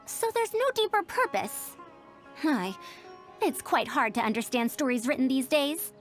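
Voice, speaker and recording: A woman's voice: high-pitched, very animated, unhurried. A woman playing a haughty, old-fashioned scholar in an anime video game, speaking precisely and pedantically. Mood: critical, puzzled. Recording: game audio over clear background music.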